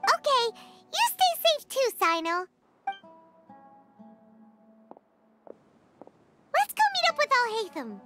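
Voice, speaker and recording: Tiny game fairy girl, extremely high and squeaky, wildly animated, music behind. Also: normal speed. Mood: upbeat. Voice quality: squeaky, childlike. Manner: refers to herself in third person, indignant, bubbly. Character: small excitable childlike floating fairy girl companion.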